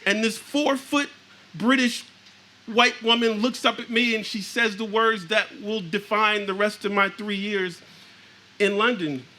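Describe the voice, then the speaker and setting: Very high, bright-voiced man telling a story. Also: steady tone, measured pace, a little hiss or hum. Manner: exclamatory, laughs through his lines. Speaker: panelist author. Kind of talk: storytelling on a panel. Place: live panel event.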